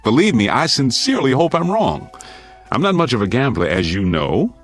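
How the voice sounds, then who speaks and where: An American actor playing a wry middle-aged doctor, mock-grumpy and gruffly affectionate, in a radio drama. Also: male voice, mid-range voice, very animated, moderate pace, soft background music. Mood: wry, heartfelt.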